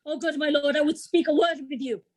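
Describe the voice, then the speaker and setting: Actress as urgent female servant, very high-pitched, anxious calling, Shakespearean audio drama, heavy noise and knocking. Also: a little fast, close to an everyday voice. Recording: very noisy, knocking and clattering.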